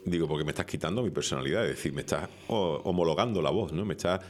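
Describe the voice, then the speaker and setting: A man in his fifties with a Canarian Spanish accent, chatting casually as a radio host. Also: low voice. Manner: fast, chatty, laughs often.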